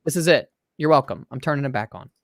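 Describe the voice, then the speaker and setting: A man's voice: mid-range voice, animated, fast, dry recording, clean studio sound. A man talking casually on a livestream in his own voice without the changer, joking and swearing.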